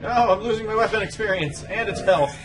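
A man's voice: high voice, brisk, distant mic, music in the background, poor audio. A man giving casual commentary while playing a video game, dry and grumbling. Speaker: player streaming a game playthrough.

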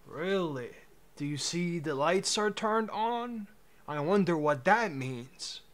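A man's voice: on the high side, expressive, slow pace, fairly bright tone. A young man in his twenties, casually chatting with his chat on a livestream through a headset, laid-back and joking. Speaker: streamer.

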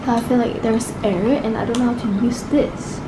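A woman's voice: on the high side, fairly even intonation, normal speed, very noisy. A sick young woman with a Malaysian English accent, vlogging to camera from her bedroom while coughing and losing her voice, mixing Malay words into her English. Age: young adult. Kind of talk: casual vlog chat to camera. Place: bedroom at home, indoors. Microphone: camera mic, camera held close or on a tripod.

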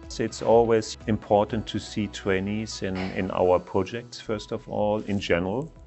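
A middle-aged man speaking in an interview in a bright lounge, thoughtful and dropping "you know" now and then. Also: fairly low pitch, fairly even intonation, moderate pace, vocal fry, faint music behind. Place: bright lounge with plants, indoors.

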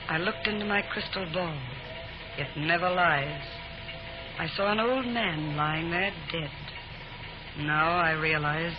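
Mysterious circus seer, haughty, solemn, theatrical, vintage radio drama, dull recording. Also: female voice, fairly low pitch. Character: haughty, mysterious female circus fortune-teller. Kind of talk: 1940s radio acting. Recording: dull old radio broadcast.

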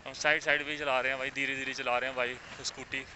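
Young man vlogging on a noisy highway. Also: fast talker. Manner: chatty, excited, repeats "bhai" and "yaar". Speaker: motovlogger. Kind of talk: casual vlog commentary while riding. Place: outdoors on a highway, riding a scooter. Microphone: clip-on mic while riding. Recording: wind and traffic noise, dull lo-fi sound.

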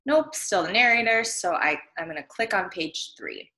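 A woman's voice: medium pitch, lively intonation, moderate pace, bright, forceful tone. A young American woman, a primary school teacher, explaining a reading task to children in simple steps. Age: young adult. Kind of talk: instructional screen-share lesson for young children. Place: small room at home. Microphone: computer webcam, video recording. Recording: roomy home computer microphone sound.

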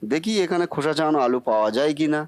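A man's voice: on the high side, expressive, racing, noisy background, natural voice. A man voicing a bumbling, boastful cartoon husband who cannot cook, muttering theatrically to himself. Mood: baffled, fretful. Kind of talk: comic Bengali cartoon acting.

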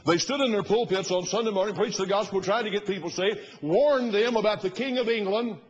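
Male pastor, 60s, husky, emphatic pulpit oratory, muffled recording in a reverberant sanctuary. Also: fairly quick. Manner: emphatic, oratorical, rising to loud bursts and dropping low. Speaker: pastor preaching. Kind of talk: fiery sermon. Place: large church sanctuary, at the pulpit platform.

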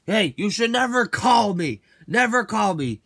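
A menacing villain from a homemade toy story, acted out by a man. He shouts slow, drawn-out threats in a high, gravelly voice. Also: lively intonation, full character voice. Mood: furious. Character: menacing, shouting male master prank-call villain.

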